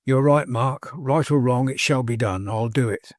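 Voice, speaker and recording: A man voicing a proud, hesitant young gentleman in a storybook, speaking formally and earnestly in measured phrases. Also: medium pitch, dry recording, studio quality, natural voice. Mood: resolved. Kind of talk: dramatised audiobook character dialogue.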